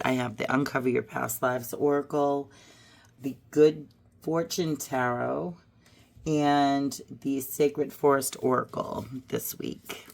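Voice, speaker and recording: Hoarse lady w a cold doing tarot pulls, super low gravelly voice, slow and musing. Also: a little hiss or hum. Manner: musing aloud, drifting to soft murmurs. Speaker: tarot reader. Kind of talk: casual card-reading vlog.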